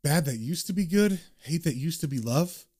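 A man's voice: on the high side, expressive, moderate pace, darkish tone, no echo. A man voicing a cynical British occult detective in a dry, muttered, slangy way, as in a comic book story. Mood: brooding.

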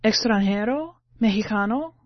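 A woman's voice: medium pitch, expressive, moderate pace, pitched above the performer's own voice, natural voice. A woman voicing an anxious, earnest young male ticket taker, insistent and uneasy, in a story reading. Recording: muffled, roomy home recording.

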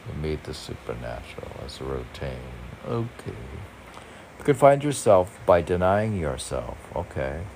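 A man's voice: very deep voice, sing-song, a little slow, gravelly. A man reading his own short poems aloud, rambling wryly and talking back to himself in casual commentary. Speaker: poet reading own work. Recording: noticeable background noise.

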